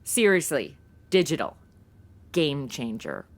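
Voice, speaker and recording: An actress playing a flippant, sarcastic, easily bored young sidekick in a comedy audio drama, talking in modern casual slang. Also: female voice, deep voice, wildly swooping pitch, slow, heavy background noise, exaggerated voice. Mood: flippant, impatient.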